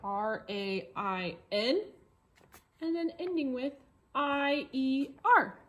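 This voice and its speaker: A woman's voice: high-pitched, takes it slowly. A woman with an American accent teaching young children, explaining simply with repetition and playful, exaggerated questions. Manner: animated, exaggerated surprise and rhetorical questions for children. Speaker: elementary school teacher. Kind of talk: read-along tracing lesson for young children.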